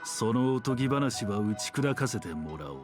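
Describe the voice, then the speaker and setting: A man's voice: mid-range voice, expressive, fast talker, close to an everyday voice. An actor playing a solemn, dramatic male storyteller in a fantasy game, delivering scripted narration. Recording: game audio with music.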